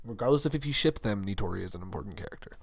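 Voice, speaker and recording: A young man co-hosting a casual chat show, his voice hoarse, deep and gravelly from screaming, rambling upbeat and laughing often. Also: lively intonation, very fast, no room sound, clean studio sound. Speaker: podcast co-host.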